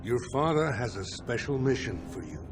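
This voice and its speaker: An actor playing an authoritative older dwarven lord in a video game, formal and measured as a military planner. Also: male voice, very animated, over music, lightly acted. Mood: measured briefing. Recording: noisy rumbling ambience behind.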